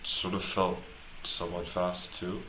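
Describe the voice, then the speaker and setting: Slow, very flat, deep-voiced man streaming. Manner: hesitant, rambling. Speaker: live streamer. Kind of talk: casual unscripted stream monologue. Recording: echoey room, clear background noise.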